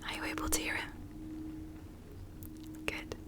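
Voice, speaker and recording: A woman whispering softly and breathily in a gentle, reassuring ASMR roleplay as a doctor giving an exam. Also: measured pace. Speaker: ASMR performer playing an ear doctor.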